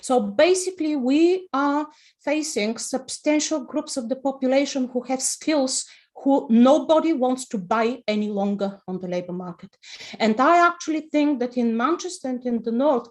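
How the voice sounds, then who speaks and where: A woman's voice: high voice, expressive, moderate pace, darkish tone. A woman in her fifties from Bulgaria with a Bulgarian accent, speaking passionately as a guest on an online panel discussion, over a video call, posing rhetorical questions. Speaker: panel guest, personal finance writer.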